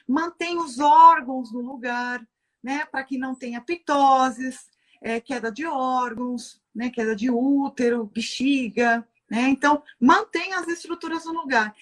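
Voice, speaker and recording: Brazilian woman, high voice, teacherly, poses questions to herself and answers them. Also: unhurried. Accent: Brazilian Portuguese. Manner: teacherly, asks herself rhetorical questions, tags sentences with "né?". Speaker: guest lecturer on Chinese medicine. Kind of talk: explanatory talk from a livestream.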